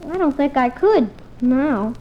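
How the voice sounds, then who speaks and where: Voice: expressive, unhurried. An actor playing an eager, imaginative young boy with slangy exclamations in an old radio comedy-drama, on a muffled recording. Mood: worried. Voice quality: high, youthful voice. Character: eager, imaginative young boy sick in bed, anxious schemer.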